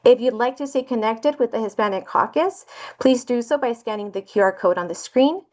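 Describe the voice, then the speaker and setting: A woman hosting a webinar, reading scripted welcome remarks in a calm, measured way before handing over to the speakers. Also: a little high. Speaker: webinar host introducing speakers.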